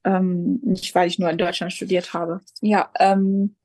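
A woman in her twenties from Jerusalem, a pastor answering interview questions hesitantly, with many pauses and restarts. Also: on the low side, flat delivery, darkish tone, no room sound, rough recording. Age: 20s. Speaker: Lutheran pastor, guest.

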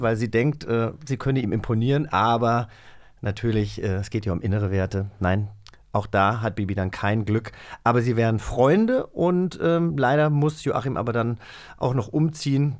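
A man's voice: medium pitch, a little mellow. A man co-hosting a podcast, telling stories in a casual, chatty and playful way. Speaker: podcast host.

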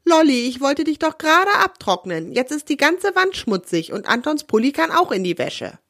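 A hoarse woman voicing a patient mother in a children's story. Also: sits high, sing-song, moderate pace, a bit bright, no echo, studio quality, voice pushed up, heavily acted. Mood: exasperated. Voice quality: hoarse from a cold. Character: patient mother, gently scolding.

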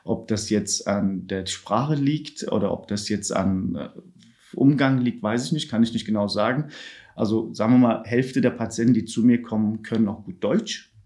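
Halting middle-aged man, lower voice. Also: a little mellow. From Germany. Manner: hesitant, many filler sounds, halting restarts. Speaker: ward manager on an addiction ward. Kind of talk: podcast interview answers.

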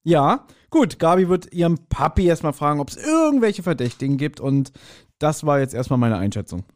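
A man's voice: a little high, very animated, normal speed, darkish tone. A man co-hosting a podcast in casual chat, speculating in a playfully self-assured, teasing way. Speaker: podcast co-host. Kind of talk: casual chat, speculating about an audio drama.

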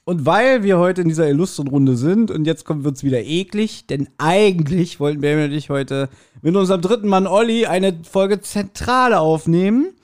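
A man's voice: sits high, normal speed. A man co-hosting a podcast in casual banter, rambling in a playful, self-mocking way. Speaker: podcast co-host.

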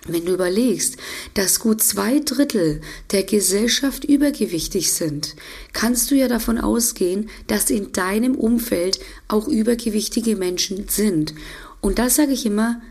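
German woman coach podcasting, bit hoarse, gravelly fry in her voice, chatty, keeps restarting sentences. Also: medium pitch, measured pace, a little mellow. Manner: chatty, explains with examples, often restarts sentences. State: slightly hoarse from a cold. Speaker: podcast host and intuitive eating coach. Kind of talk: casual solo podcast talk.

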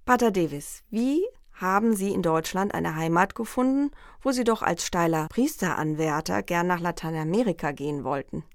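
A woman interviewing an author, asking measured, formal questions and reading a quote from his book. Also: lowish voice, animated, no room sound, studio quality.

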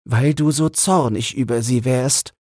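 A man's voice: medium pitch, measured pace, mellow, no echo, studio quality, voice pushed up, natural voice. A man voicing an old queen mother, reproachful yet merciful, in a fairy tale audiobook. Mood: consoling.

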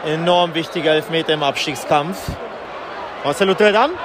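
A man reporting live and casually from a football match as a podcast host, speaking in an excited, raised voice. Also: a little high, moderate pace. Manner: excited, raised voice over stadium noise. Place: outdoors in a football stadium stand. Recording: loud stadium crowd noise, outdoor field recording. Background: loud cheering football crowd.

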